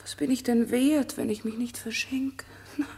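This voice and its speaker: An actress playing an innocent, lovestruck young maiden in a German radio drama, soft and earnest with tender emotion. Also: female voice, a little high, steady tone, moderate pace, breathy edge. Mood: overcome with love.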